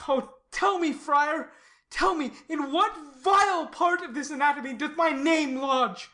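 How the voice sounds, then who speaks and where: A woman's voice: high-pitched, unhurried, bright, forceful tone. A woman playing a lovesick, desperate banished youth in a Shakespearean tragedy, with an anguished theatrical delivery. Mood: frantic grief. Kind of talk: classical stage tragedy in audio.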